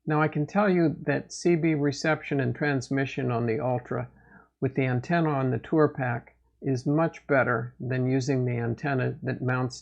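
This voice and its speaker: Male gear reviewer, unhurried with vocal fry, plain and measured narration, somewhat muffled lo-fi sound. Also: medium pitch. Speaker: host of a motorcycle gear review channel. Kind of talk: product update narration.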